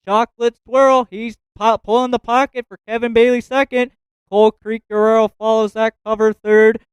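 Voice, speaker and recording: A man working as a track announcer, calling a live harness race with rapid, rhythmic listing of positions and times. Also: very high voice, clear, resonant tone, no echo, muffled recording.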